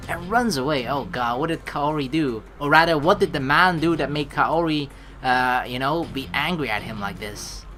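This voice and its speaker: Animated, bright-voiced woman streamer, low pitch. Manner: animated, jokey, profane asides. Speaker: let's play streamer. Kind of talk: playthrough narration with casual reactions. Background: background game music.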